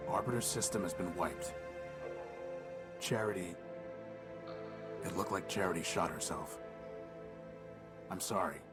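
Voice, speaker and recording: An actor playing a weary, stoic male android in a video game, speaking in a subdued, measured way. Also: sits low, over loud music. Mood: somber.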